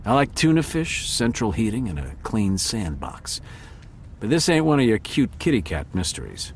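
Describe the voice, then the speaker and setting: An actor voicing a sleek, wry cat private detective, narrating a noir audio drama in a deadpan, world-weary way. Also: male voice, on the low side, moderate pace, slight background noise. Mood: wry, self-pitying. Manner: deadpan hard-boiled narration.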